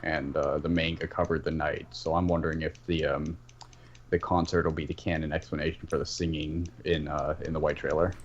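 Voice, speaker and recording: Very low-voiced man, muffled and noisy. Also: little pitch movement, a little fast. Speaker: podcast panelist. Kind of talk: casual fan discussion of an animated series. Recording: muffled mic with clear background noise.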